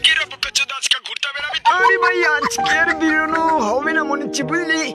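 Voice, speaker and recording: A man voicing a cheeky, foul-mouthed young cartoon character, exclaiming in crude slang with a pitched-up sound. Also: fast talker, over loud music. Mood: brash. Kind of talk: exaggerated comedy meme cartoon voice acting.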